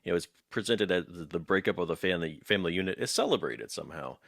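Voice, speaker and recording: A man's voice: low-pitched, animated, quick pace, vocal fry, fairly bright tone, no echo, clean studio sound. A man hosting a casual interview, conversational and emphatic, repeating words for stress. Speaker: interviewer, host.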